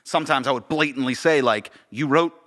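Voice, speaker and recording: A man co-hosting a podcast in casual conversation, agreeable and quick to affirm his partner as he explains his points. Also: medium pitch, fairly bright tone. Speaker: podcast co-host, theater and audio director.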